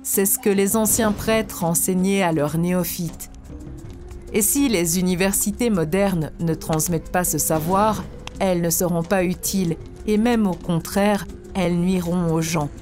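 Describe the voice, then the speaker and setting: An actress plays a wise woman teacher in an animated spiritual tale. Her voice sits a little low and she explains things in a measured, earnest way. Quiet music plays behind her. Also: close to an everyday voice. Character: wise female spiritual teacher, serene and earnest, instructing disciples. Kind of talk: scripted didactic cartoon dialogue.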